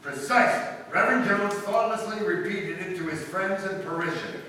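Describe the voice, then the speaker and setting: A man playing a clever male amateur detective in a live old-time radio drama, crisp and lecturing, building to emphatic points. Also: high voice, normal speed, bright, forceful tone. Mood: explaining. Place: live stage performance in a hall. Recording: echoey hall from far off, faint hiss.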